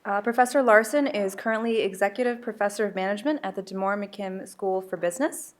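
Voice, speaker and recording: A woman's voice: mid-range voice, fast talker. Young woman reading a formal introduction. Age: young adult. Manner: careful, formal, read-aloud delivery. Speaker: student host introducing the speaker. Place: conference hall, at a podium. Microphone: podium microphone.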